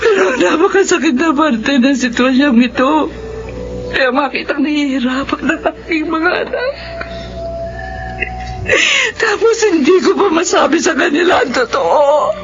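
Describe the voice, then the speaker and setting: An actress playing an anguished mother of teenagers who was abandoned by her husband, pleading and breaking into tears and shouts, in a radio drama. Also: high-pitched, lively intonation, a little slow, highs cut off, music in the background. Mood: tearful.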